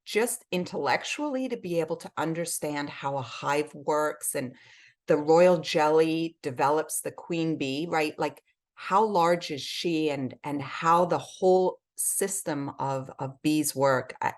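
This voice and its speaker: A woman's voice: low voice, measured pace. A woman from Switzerland and England talking effusively and emphatically as a guest in a conversational interview. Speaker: podcast guest, health advocate.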